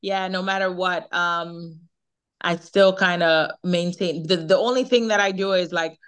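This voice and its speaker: Chatty Jamaican woman, low-pitched voice. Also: steady tone, unhurried, a bit bright, clean tone. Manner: chatty, laughs often, repeats herself. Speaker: singer, interview guest. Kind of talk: casual interview chat.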